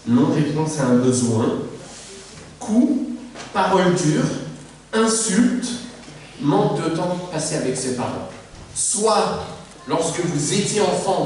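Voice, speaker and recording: A man from Mauritius preaching a sermon as a pastor, lively, repeating phrases for emphasis and putting rhetorical questions to the congregation. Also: on the high side, slight background noise. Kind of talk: sermon on family life. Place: church. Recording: echoey church hall from far off.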